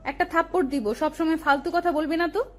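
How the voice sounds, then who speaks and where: A woman's voice: extremely high pitch, fairly quick, darkish tone, soft background music. A woman playing a doting, bossy, emotional young woman in love in an audio romance. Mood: scolding, fussing. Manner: fussing, commanding, emotional. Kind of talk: audio love story dialogue.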